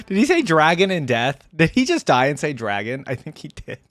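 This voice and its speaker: A man hosting a reaction video with casual, excited commentary. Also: fairly high pitch, moderate pace, soft background music.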